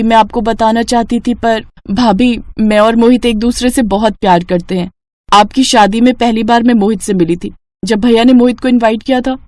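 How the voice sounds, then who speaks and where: A woman's voice: on the high side, darkish tone, lightly acted. A woman voicing a timid, earnest young woman in love in a family story. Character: young in-love sister-in-law, shy, pleading.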